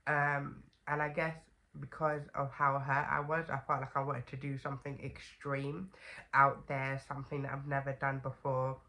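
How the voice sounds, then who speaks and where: A woman's voice: rumbling low, little pitch movement, moderate pace, pushed and bright. A young woman with a stuffy, congested voice from a cold, chatting casually to camera as a vlogger with lots of fillers and "okay?" asides.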